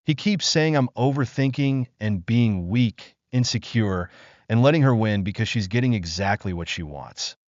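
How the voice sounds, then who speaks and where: A man narrating a first-person relationship story from an online post in a steady, even reading voice. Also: mid-range voice, normal speed, dry recording. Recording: dry, slightly dull lo-fi sound.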